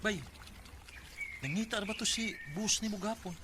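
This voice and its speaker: An actor with a Cebuano Filipino accent playing a blunt, teasing young buddy in a radio drama. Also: male voice, high-pitched, moderate pace, background noise, lightly acted. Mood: uneasy.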